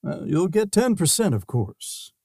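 Greedy schemer co-host, warm tone, lively pitch. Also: a little slow, dry recording, clean studio sound. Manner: greedy, scheming, hesitant fillers. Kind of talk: comedic audio sketch.

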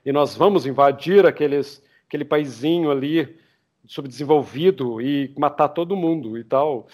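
A man voicing a haughty, formal nineteenth-century gentleman engineer in a steampunk tale. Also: fairly high pitch, moderate pace, pitched below the performer's own voice, close to an everyday voice. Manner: pompous, formal. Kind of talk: stuffy period gentleman character voice.

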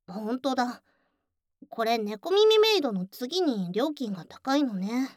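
A woman's voice: high-pitched, no echo, clean studio sound. A woman playing a prickly but secretly caring young tsundere friend, brusque and teasing in an ASMR voice drama. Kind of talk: ASMR situation voice drama acting.

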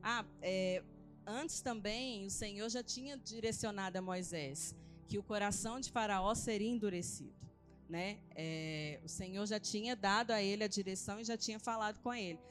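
A Brazilian woman gives an informal Bible teaching. Her voice sits at a medium pitch, and she talks in a colloquial, conversational way with frequent tag questions. Faint music plays behind her. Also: normal speed. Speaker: church preacher. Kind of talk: informal Bible teaching sermon, reading and retelling scripture. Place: church service.

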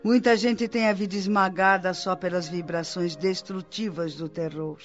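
A man with a Brazilian accent playing a wise, patient spirit mentor in a radio drama, teaching in a measured, reassuring way. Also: high voice, fairly even intonation, fairly bright tone. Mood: patient, earnest. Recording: dull lo-fi radio drama, music behind. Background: music.

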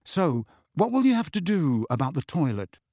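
A man's voice: fairly high pitch, sing-song, moderate pace, warm tone, no room sound. A man voicing a defiant teenage boy wizard who speaks bluntly and with indignation, in a dry audiobook reading. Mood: indignant.

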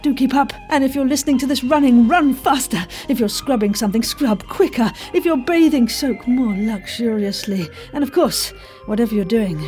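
High-pitched woman, middle-aged, podcast host, exuberant, theatrical, gushing, music in the background. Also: moderate pace, darkish tone. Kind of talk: effusive scripted introductions and casual interview chat.

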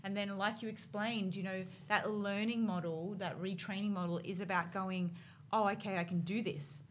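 A woman's voice: medium pitch, steady tone, moderate pace. An Australian woman with a mid-range voice hosts a casual interview. She is chatty and affirming, and her speech is full of fillers. A faint hiss sits in the background of the recording. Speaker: host, interviewer.

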